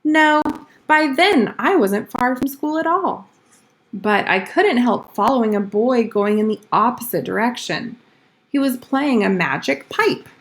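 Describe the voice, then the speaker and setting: A woman's voice: a little high, animated, unhurried. A woman voicing a schoolboy from a storybook, earnestly telling tall-tale excuses. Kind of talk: storybook read-aloud.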